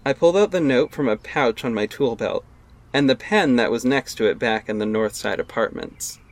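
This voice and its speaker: A man's voice: medium pitch, normal speed. A young man narrating his own audio drama in the first person, wry and casual. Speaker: narrator and lead actor. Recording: music bed underneath.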